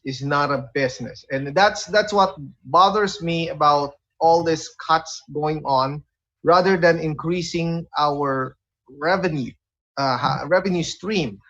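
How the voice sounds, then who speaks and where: Man, somewhat high pitch, radio host, conversational, halting, word repetitions, muffled lo-fi sound. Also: a bit bright. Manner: halting, repeats words as he searches for phrasing. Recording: dull, lo-fi audio.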